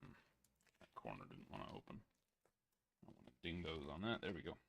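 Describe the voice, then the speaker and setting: A young man hosting a live card break, chatting casually and reading numbers off in a steady list, his voice a little worn. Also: extremely low pitch, a little fast, gravelly, slight background noise. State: losing his voice.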